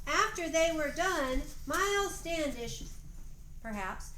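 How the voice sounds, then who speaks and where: A woman narrating a homemade history play like a storybook, reading aloud expressively with deliberate phrasing, in a roomy home recording. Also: sits high, lively intonation, sharp tone. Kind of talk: storybook narration for an amateur play, with informal stage directions. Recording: roomy echoey home recording, faint noise.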